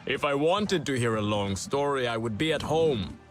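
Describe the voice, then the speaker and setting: An actor playing a brusque but reverent alien hunting mentor in a video game, curt and instructive. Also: male voice, medium pitch, animated, normal speed, over music, natural voice. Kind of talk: dramatic video game acting.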